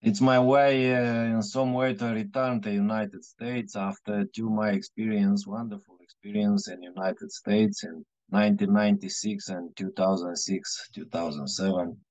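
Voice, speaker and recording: A middle-aged man from Moldova with a Moldovan accent, presenting haltingly as a guest academic over a dull video call. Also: on the low side, darkish tone, no room sound. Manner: halting, hesitant delivery. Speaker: guest speaker, historian. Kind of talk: seminar presentation. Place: indoors, room on a video call.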